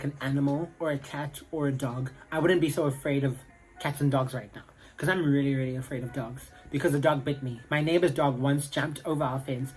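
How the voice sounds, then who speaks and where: A man's voice: mid-range voice, rough recording. A young man with a South African accent, chatting casually to camera in a rambling way full of fillers. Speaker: vlogger.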